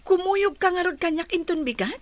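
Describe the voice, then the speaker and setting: Young female student, acted by a woman, very high voice, polite, earnest, audio drama daughter. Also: lively intonation, fairly quick, dry recording. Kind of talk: dialogue in an amateur audio drama.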